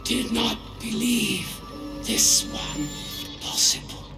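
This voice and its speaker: Slow, gravelly alien mystic. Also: male voice, very high voice, expressive, full character voice. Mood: grave, urgent. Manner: halting broken speech, dropped words, long pauses. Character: solemn alien mystic in robes, foreboding, pleading. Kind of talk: dramatic game cutscene acting. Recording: roomy sound under loud cinematic music.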